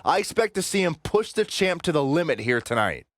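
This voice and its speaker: A man's voice: fairly high pitch, sing-song, brisk, no echo. A man playing an earnest, enthusiastic colour commentator in a wrestling video game broadcast. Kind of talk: sports commentary.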